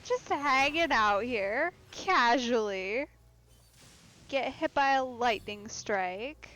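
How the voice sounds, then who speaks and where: A woman's voice: a little high, expressive, takes it slowly, a bit bright, a little hiss or hum. A woman giving casual let's play commentary on a video game, with playful, dry asides. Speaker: game streamer. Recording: game music behind the voice.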